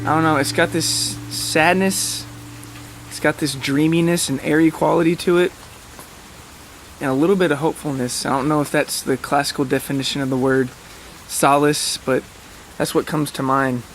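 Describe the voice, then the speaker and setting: Slightly high-voiced man, casual podcast host. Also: fairly even intonation, normal speed, slight background noise, poor audio. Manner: informal, hesitant, self-deprecating. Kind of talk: casual, rambling monologue to listeners.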